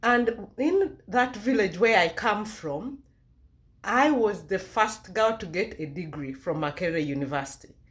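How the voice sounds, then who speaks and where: A woman's voice: medium pitch, lively intonation, a little slow, a bit bright. Ugandan-accented woman giving a personal testimony. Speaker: vlogger.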